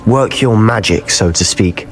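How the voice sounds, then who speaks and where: A man's voice: lowish voice, unhurried, faint music behind, close to an everyday voice. An actor playing a bold, bookish young male wizard student in a video game, speaking. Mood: determined. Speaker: game character.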